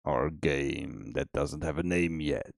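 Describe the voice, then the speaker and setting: A man with a cold, his voice extra deep, chatting easygoing and rambling with many restarts while streaming game development. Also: slow pace, creaky, no room sound, studio quality. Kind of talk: casual chat on a dev stream.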